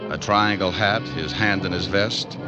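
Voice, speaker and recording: A man playing a suave, wisecracking hard-boiled private detective in radio drama style, with a sardonic, clipped, quick-witted delivery. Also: low voice, fairly even intonation, over loud music. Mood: wry narration. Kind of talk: 1940s-50s radio drama acting.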